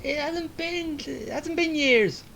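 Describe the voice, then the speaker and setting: A woman's voice: high voice, slow, vocal fry, slight background noise. A woman streaming a video game, reacting casually in short exclamations as she plays. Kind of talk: casual reaction commentary while playing a game. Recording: loud game music and battle sounds behind.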